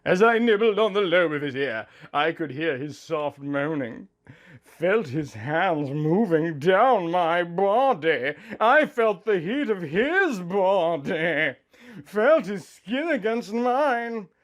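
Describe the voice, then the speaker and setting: Man playing a lovestruck young bride, theatrical swings in pitch. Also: very animated, slow pace, full character voice. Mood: swooning. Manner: swooning, overwrought. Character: young woman narrating her honeymoon, breathy, lovestruck. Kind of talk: melodramatic romantic fan fiction reading.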